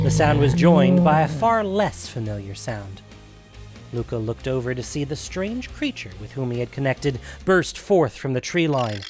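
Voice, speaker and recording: Man narrating fantasy fiction over soft music. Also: mid-range voice. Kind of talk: dramatic scripted fantasy story narration.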